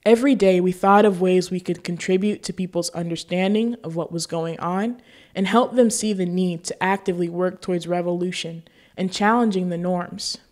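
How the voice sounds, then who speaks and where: Slightly low-voiced woman, earnest show host. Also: steady tone, moderate pace, darkish tone. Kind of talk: scripted narration and appeal to viewers.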